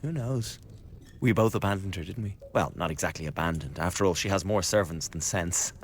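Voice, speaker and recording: Glib, low-voiced scheming conspirator. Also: male voice, fairly quick, slight background noise. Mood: needling, gossipy. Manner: chatty, insinuating, blackly flippant. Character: glib, scheming young male revolutionary conspirator. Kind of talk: radio drama acting. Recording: studio radio drama with background ambience.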